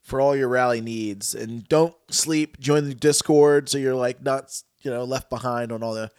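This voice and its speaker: A man with a stuffy, nasal voice hosts a podcast in easygoing, joking chat. His pitch sits mid-range for a man, and the sound is dry and close, with no room around it. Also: moderate pace, darkish tone, clear, resonant tone, no echo. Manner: laid-back, joking, swears casually. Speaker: podcast host. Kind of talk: casual chat.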